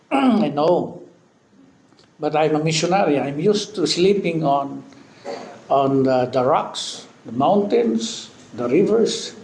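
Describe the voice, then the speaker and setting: A Filipino-accented man in his fifties preaches from the pulpit. His voice sits a bit high for a man, and he keeps dropping in amen and hallelujah between halting phrases. The sound carries the echo of a church hall with a faint hum behind. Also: darkish tone. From Philippines. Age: 50s. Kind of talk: sermon. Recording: echoey church hall with faint background noise.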